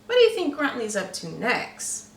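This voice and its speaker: A woman reading a story aloud to young children with dramatic, deliberate phrasing and questions to the listeners. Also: on the high side, huge pitch swings, vocal fry. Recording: echoey room, distant mic, faint noise.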